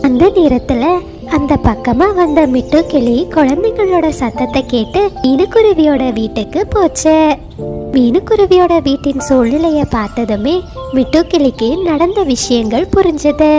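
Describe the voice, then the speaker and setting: A woman narrating a children's cartoon story in a steady storytelling voice. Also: high-pitched, normal speed, music in the background.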